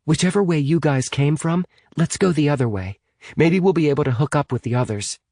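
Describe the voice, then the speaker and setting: Man voicing a decisive teenage girl, pitch lifted. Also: fairly quick, mellow, dry recording, clean studio sound. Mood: urgent. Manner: urgent, reasoning, decisive. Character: decisive, earnest teenage girl leading friends in an adventure novel.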